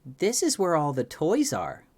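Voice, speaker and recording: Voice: medium pitch, huge pitch swings, a little slow, darkish tone, pitched above the performer's own voice. A performer voicing giggly, creepy young twin children in an overexcited singsong. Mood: coaxing.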